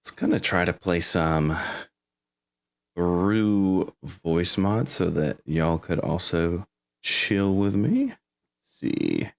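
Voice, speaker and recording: A man's voice: low voice, lively intonation, slow pace, creaky. A man chatting casually as game master of a tabletop game, rambling and correcting himself as he goes.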